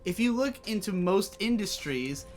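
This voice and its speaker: Young man, casual co-host chat, rambling, swears, a little high-pitched. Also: lively intonation, a little slow, soft background music.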